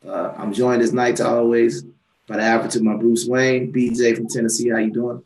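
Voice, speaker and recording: A man's voice: mid-range voice, flat delivery, fairly quick, a little mellow, reverberant, low-quality recording. Tired man hosting a talk show. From Atlanta, Georgia. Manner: rambling, many fillers and restarts. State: tired, worn voice. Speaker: podcast host. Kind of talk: casual political chat show.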